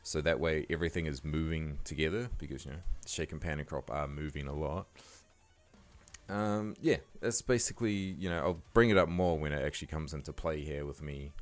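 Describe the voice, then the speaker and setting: A man with a croaky voice giving a laid-back, casual editing tutorial, talking loosely with lots of fillers. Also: rumbling low, a little fast, soft background music. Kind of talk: casual screen-recording tutorial voice-over.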